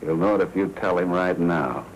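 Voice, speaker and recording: An actor playing a sardonic, menacing American crime boss and jealous husband in a 1940s film noir. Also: male voice, low voice, moderate pace, close to an everyday voice. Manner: sardonic, needling, tough slangy talk. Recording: noisy old 1940s film soundtrack.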